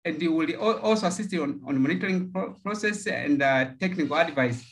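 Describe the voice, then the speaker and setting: Guy pitching his ngo project on a video call, lots of uh and repeats, slightly high voice. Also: expressive. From Tanzania. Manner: halting, many fillers and repeated words.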